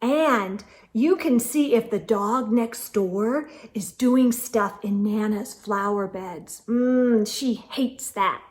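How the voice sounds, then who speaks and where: A young woman reading a children's picture book aloud, gentle and expressive, with soft hums and asides to young listeners. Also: on the high side, slow, hardly any pauses. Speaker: children's storyteller.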